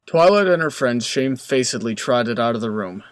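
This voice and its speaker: A man's voice: medium pitch, lively intonation, moderate pace, clean tone, no room sound, clean studio sound. A man narrating a scripted comedic story as the narrator between characters' lines.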